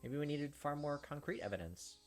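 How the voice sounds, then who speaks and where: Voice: medium pitch, lively intonation, fairly quick. A let's play host reading a visual novel aloud and adding casual, sympathetic commentary. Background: game music.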